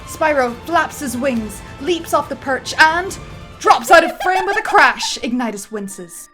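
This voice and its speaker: A woman reads out a movie script's stage directions with a theatrical, emphatic flair. Her voice sits a little high. Soft music plays beneath her. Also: animated, a little slow. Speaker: narrator. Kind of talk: dramatic script narration.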